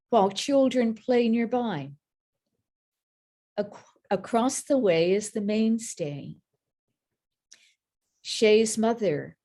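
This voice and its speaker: A woman from the United States reads her own poem aloud in a mid-pitched voice. She takes it slowly, line by line. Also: warm tone. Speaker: poet. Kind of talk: poetry reading.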